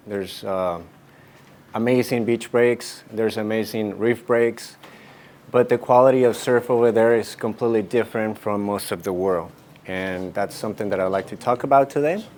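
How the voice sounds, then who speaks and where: A Colombian-born man in his forties talks through surfboard shaping for a live audience. His voice sits at an ordinary middle pitch. He wanders from point to point, padding his sentences with fillers. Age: 40s. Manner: loose and rambling, leans on fillers like "um" and "like". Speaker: surfboard shaper giving a talk. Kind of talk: casual presentation to an audience.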